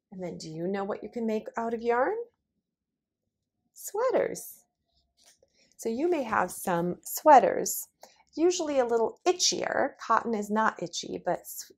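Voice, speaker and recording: A woman's voice: medium pitch, darkish tone. A middle-aged woman, a preschool teacher, speaking in simple phrases and questions as in a children's lesson. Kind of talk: children's lesson and read-aloud.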